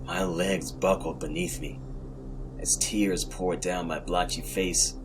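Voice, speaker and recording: A man's voice: low-pitched, steady tone, takes it slowly, poor audio. A man narrating first-person horror fiction in a dramatic scripted style, as host of a story podcast. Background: music.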